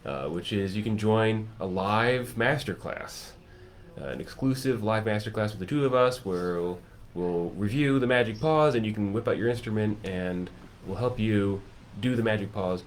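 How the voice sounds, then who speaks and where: A man hosting a casual chat, enthusiastically pitching a course and piling up lists and vivid comparisons. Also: expressive, moderate pace, a little hiss or hum. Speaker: music teacher and course host.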